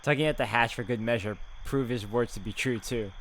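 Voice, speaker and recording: A man's voice: mid-range voice, brisk, background noise. A man reading a visual novel's narration aloud in a dramatic way, with sarcastic asides. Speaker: video game player reading narration aloud.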